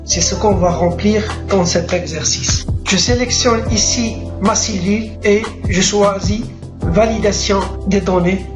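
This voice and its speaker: A man talks through a screen-recorded spreadsheet tutorial at an even, methodical clip, spelling out each step. His voice rings in an echoey room, with clear music running underneath. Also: sits high, unhurried. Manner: steady step-by-step dictation of clicks and formulas. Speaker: software tutor.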